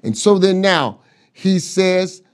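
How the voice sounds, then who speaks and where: Middle-aged male pastor, high-pitched, slow deliberate sermon delivery, close lapel sound. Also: animated. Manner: emphatic preaching with rhetorical questions and repetition. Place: pastor's office with bookshelves, seated at desk.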